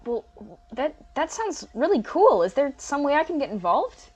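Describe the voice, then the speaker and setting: A very high-pitched young student acted by a woman, bewildered and politely sceptical. The delivery is hesitant and deadpan, in the style of a comic audio drama. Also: normal speed, highs cut off. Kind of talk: comedic audio drama acting.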